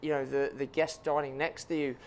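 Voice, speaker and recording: A man, a chef in his thirties, talks about his work in a gravelly, mid-pitched voice. He answers earnestly, with frequent ums and restarts. A faint hum of noise sits under the recording. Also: flat delivery, a little fast. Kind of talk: interview answers.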